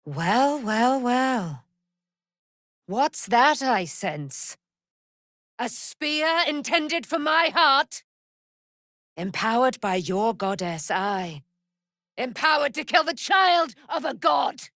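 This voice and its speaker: An actress playing a proud, righteous immortal warrior, daughter of a moon goddess, with grandiose, formal delivery in a fantasy video game. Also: female voice, high voice, sing-song, takes it slowly, pauses often, fairly bright tone, no room sound, clean studio sound, exaggerated voice. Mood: scornful, fierce.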